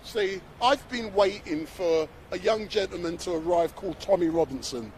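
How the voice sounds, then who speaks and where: A man with a notably high voice runs a street livestream outdoors, briskly prompting and interrupting his guest. Faint traffic hum sits under his voice. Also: a little mellow. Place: outdoors on a city street outside a court. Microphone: handheld phone livestream.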